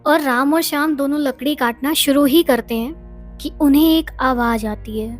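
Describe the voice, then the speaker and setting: A woman's voice: high-pitched, normal speed. A woman narrating a scripted story as a storyteller. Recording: music behind the voice.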